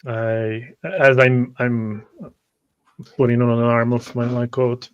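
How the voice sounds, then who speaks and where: A man narrating his character's actions haltingly as a tabletop game player. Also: medium pitch, fairly even intonation, smooth, muffled recording. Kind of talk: first-person roleplay narration.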